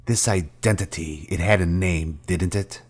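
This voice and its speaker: An actor playing a vengeful fallen Jedi master in a video game, cold and commanding, with grave, deliberate, formal speech. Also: male voice, sits low. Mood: grave, accusing. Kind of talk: scripted video game villain dialogue.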